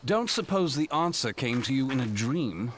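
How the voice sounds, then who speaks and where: A man's voice: medium pitch, big pitch swings, moderate pace, slight background noise, close to an everyday voice. A man playing a wry, urbane, perceptive adventurer in a fantasy game, with teasing and theatrically formal diction. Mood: wry musing. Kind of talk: fantasy game cutscene acting.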